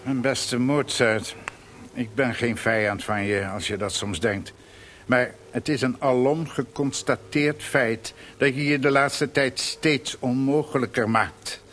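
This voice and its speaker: An actor playing an unruffled, condescending official in a Dutch radio drama, speaking in a measured way. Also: male voice, medium pitch, a bit bright, a little hiss or hum. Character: condescending, unruffled theatre official rebuking a composer.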